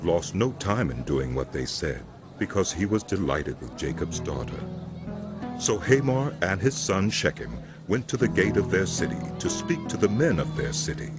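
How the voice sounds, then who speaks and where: A very low male voice narrates scripture with solemn, measured storytelling. His intonation moves widely, and music plays clearly underneath. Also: sing-song.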